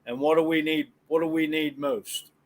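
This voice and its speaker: A man's voice: on the high side, steady tone, normal speed. An American man hosting a sports podcast in a casual, chatty style. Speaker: podcast host.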